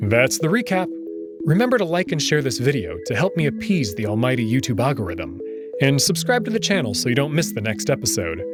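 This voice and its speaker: A man narrates a visual novel as its host. His mid-pitched voice is animated and dramatic, reading scripted story text over a clear music bed. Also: brisk. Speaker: host and reader of a game playthrough. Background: background music.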